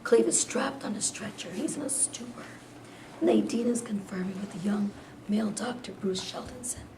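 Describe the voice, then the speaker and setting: A woman's voice: medium pitch, moderate pace. A woman reading stage directions as scripted narration at a staged play reading. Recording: echoey room from afar, clear noise.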